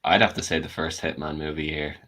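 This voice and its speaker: A man with a notably low voice talks casually as a guest on a panel. He gives his opinions on films in a conversational way. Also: fairly even intonation, brisk, pushed and bright. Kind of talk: casual film discussion.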